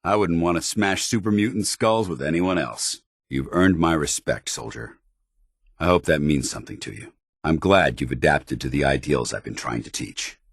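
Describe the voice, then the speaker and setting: An actor playing a stern, proud and earnest soldier companion in a video game, speaking formally with clipped, commanding delivery. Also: male voice, extremely low pitch, expressive, normal speed, husky, no room sound, studio quality, heavily acted. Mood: sincere, warm.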